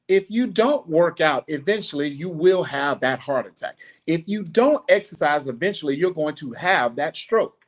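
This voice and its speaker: A middle-aged man hosting a podcast, giving a blunt, preachy monologue with animated asides. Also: on the high side, moderate pace, few pauses. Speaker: podcast host. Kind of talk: casual monologue.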